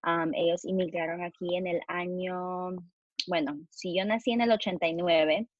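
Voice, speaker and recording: Low-voiced woman in her thirties, dry sound. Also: moderate pace, dry recording, highs cut off. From United States, New Jersey. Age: 30s. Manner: relaxed, mixes in English words. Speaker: guest. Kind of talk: casual interview chat.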